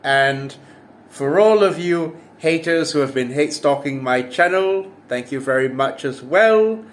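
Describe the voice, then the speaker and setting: A man, his voice pitched slightly above the usual for a man. He chats casually to camera as a commentary vlogger. He slips in wry, self-deprecating jokes as he goes. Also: animated, a little slow. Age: 30s. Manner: wry, self-mocking, jokey asides.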